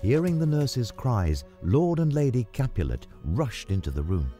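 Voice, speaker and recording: A man reading a scripted story as narrator in a measured, dramatic way. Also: medium pitch, sing-song, warm tone. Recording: music under the voice. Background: background music.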